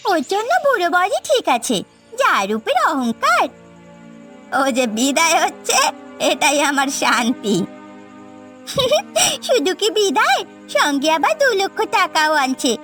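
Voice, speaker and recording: A woman's voice: very high-pitched, wildly swooping pitch, a little slow, a bit bright, exaggerated voice. A woman voicing a scheming, greedy, domineering old mother-in-law in a cartoon family drama, scolding and scornful. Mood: greedy, gloating. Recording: background music.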